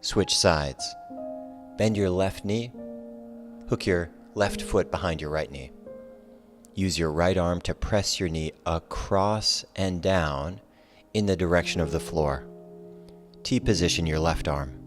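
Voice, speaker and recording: A man teaching a guided relaxation yoga class, giving calm, soothing, measured cues over soft music. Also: low voice. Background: soft guitar music.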